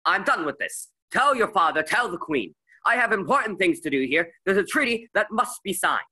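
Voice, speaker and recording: Young man playing a raspy, tense, harried governor. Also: sits high, sing-song, moderate pace, pushed and bright, no room sound, full character voice. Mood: angry. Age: young adult. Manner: exasperated, emphatic. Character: harried male governor being blackmailed, exasperated and defensive. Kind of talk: radio play acting.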